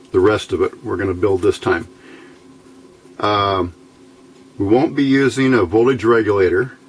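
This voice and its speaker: A man giving an unscripted hands-on technical tutorial in a matter-of-fact, halting, step-by-step way. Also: on the low side. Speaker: host of an electronics build tutorial. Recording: clear background noise, room ambience.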